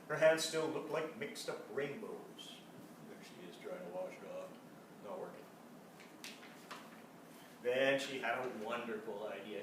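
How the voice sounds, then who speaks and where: A man reading a children's storybook aloud in an animated style, with casual asides. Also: mid-range voice. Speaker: storybook reader. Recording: echoey room, distant mic, faint noise.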